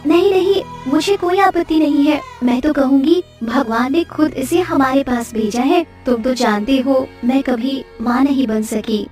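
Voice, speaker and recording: High-pitched fretful cartoon village wife by a woman. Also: normal speed, hardly any pauses, a little mellow, far from the mic, soft background music, close to an everyday voice. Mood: surprised, tender. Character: caring, worrying fisherman's wife, quick to scold. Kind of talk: Hindi cartoon story dialogue.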